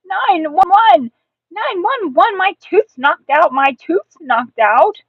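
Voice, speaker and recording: A woman voicing an excitable, stubborn young first-grade girl in a storybook, exclaiming and repeating herself dramatically. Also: measured pace, full character voice. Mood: panicked, yelling. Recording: tinny, echoey, distant low-bandwidth recording.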